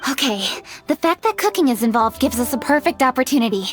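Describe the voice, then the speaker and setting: A woman's voice: high-pitched, big pitch swings, fast talker, soft background music. An actress playing a diligent, businesslike young woman official in a video game, articulate, earnest and matter-of-fact. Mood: confident, earnest. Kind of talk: scripted video game dialogue.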